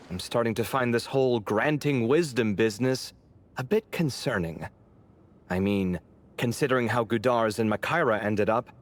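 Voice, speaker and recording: A man voicing an opinionated, sharp young male scholar in a video game, expressive and thoughtful. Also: mid-range voice. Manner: expressive, slightly indignant, thoughtful. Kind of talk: animated video game voice acting.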